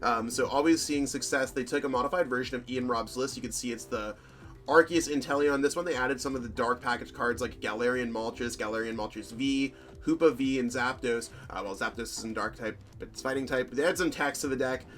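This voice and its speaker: A man with a slightly hoarse voice, hosting a casual, rambling commentary over card game deck lists, full of fillers and self-corrections. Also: medium pitch, brisk, fairly bright tone, soft background music.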